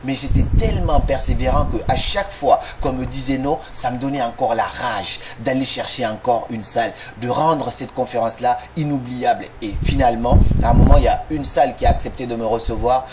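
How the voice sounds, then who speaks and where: A man giving a motivational talk straight to the listener, speaking directly and firing off rhetorical questions. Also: mid-range voice. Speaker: motivational video host. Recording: noisy recording with clicks.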